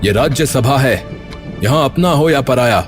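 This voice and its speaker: A man acting a stern, suspicious young ruler in an animated court drama, formal and commanding, with music behind. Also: mid-range voice, moderate pace, close to an everyday voice. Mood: stern, threatening.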